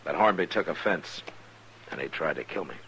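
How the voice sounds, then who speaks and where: Male, extremely low voice, terse lonely Western lawman; hissy vintage radio recording. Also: expressive, moderate pace, a bit bright, full character voice, low-quality recording. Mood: matter-of-fact. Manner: terse, grave, understated. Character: weary, watchful, lonely frontier US Marshal, plain-spoken man. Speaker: lead actor and opening narrator. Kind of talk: old-time Western radio drama acting.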